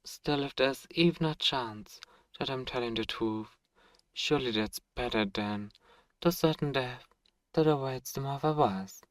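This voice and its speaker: A man's voice: mid-range voice, dry recording, lightly acted. A man playing a centuries-old, possessive, dominant vampire in an ASMR roleplay, speaking in formal, condescending, menacing phrases on a close microphone. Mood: taunting, seductive menace. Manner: silky, menacing, condescending, drawn-out formal phrasing with pet names. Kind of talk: ASMR roleplay audio drama. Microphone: close microphone, dry.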